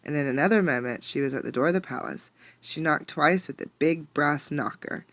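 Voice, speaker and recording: A woman reads a children's storybook aloud in a fairly low voice. Her delivery is dramatic and emphatic, like a teacher engaging listeners. The sound is dry, with very little room echo. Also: fairly quick, a bit bright. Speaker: teacher reading a picture book aloud. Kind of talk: expressive storybook read-aloud with questions to listeners.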